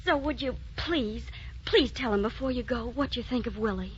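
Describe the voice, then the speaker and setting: Woman playing lovestruck young daughter, high lively voice, pleading, headstrong, radio play, muffled recording. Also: moderate pace, natural voice. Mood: eager, pleading. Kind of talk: radio drama acting. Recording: muffled old broadcast with clear noise.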